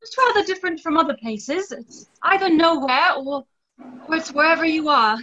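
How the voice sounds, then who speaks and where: Woman playing dreamy young woman, high pitch, bright tone, stage play reading, muffled video call. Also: animated, moderate pace. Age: 20s. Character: dreamy, fanciful young woman who believes in fairies. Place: small indoor room, video call. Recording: dull video-call audio.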